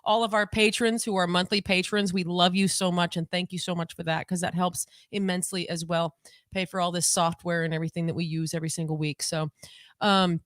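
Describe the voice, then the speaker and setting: A woman co-hosting a casual home livestream podcast on a desk mic, talking in a rambling, chatty way and repeating herself, her voice lower than usual today. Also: flat delivery, a little fast, dry recording, clean studio sound. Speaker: podcast co-host.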